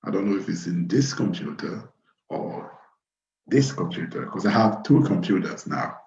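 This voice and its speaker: Webinar host, male, 30s, from Cameroon. Lowish voice, halting informal delivery, dull computer-mic sound. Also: creaky, darkish tone. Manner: halting, repeats phrases. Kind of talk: informal live webinar talk. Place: small plain room indoors. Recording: roomy, dull webcam audio.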